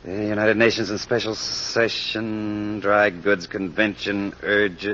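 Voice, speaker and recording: An actor playing a shrewd, persistent American detective in an old radio crime drama, probing and assured. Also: male voice, fairly low pitch, level tone, slow pace, fairly bright tone, slight background noise. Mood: musing. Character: shrewd, persistent male amateur sleuth questioning suspects. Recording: dull lo-fi old radio recording.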